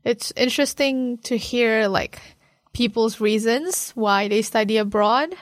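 A woman interviewing someone in a relaxed conversation, softly encouraging and often murmuring acknowledgements. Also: on the high side, unhurried, no room sound. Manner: soft-spoken, encouraging with frequent murmured acknowledgements.

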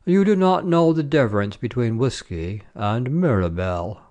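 A man voicing a tipsy bearded French soldier in a short story, slow and doting. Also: mid-range voice, lively intonation, a little mellow, lo-fi sound, close to an everyday voice.

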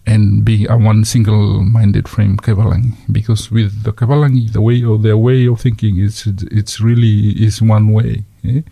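A man with a Fijian accent hosting a community radio chat, halting with many fillers and slipping into Fijian phrases. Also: on the low side, level tone, creaky, mellow, slightly rough, dry recording. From Fiji. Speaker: radio host.

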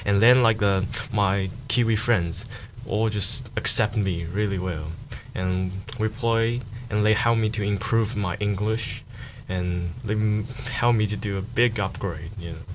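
Deep-voiced Chinese man, halting speech. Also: steady tone. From China. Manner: halting, with many fillers. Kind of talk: casual interview answers. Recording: noisy recording.

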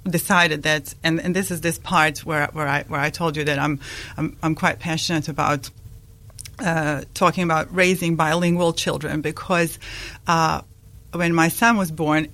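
A woman in her forties from Bosnia, speaking English with a Bosnian accent, a guest in a radio interview who talks with many pauses and restarts. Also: deep voice, fairly bright tone.

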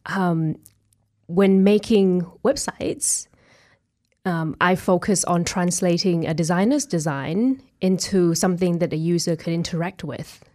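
Woman, from Thailand, slightly low pitch, casual interview guest. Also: a little slow, warm tone. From Thailand, from Bangkok. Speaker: interview guest, web developer at a software company.

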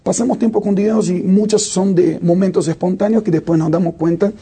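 Guy in his 30s, gravelly dark voice, thinks out loud with lots of uhs. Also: sits high, steady tone, brisk. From Brazil. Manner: reflective, with frequent hesitations. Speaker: worship leader and singer. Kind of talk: interview answers.

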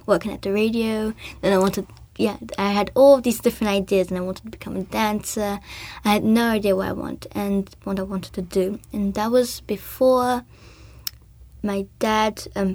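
A woman's voice: mid-range voice, a little mellow. An eleven-year-old girl from Belgium chatting hesitantly with many ums while she teaches a group of children how to bake a sweet bread at a community hub. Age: 11 years old. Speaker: young baking tutor. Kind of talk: casual workshop instruction.

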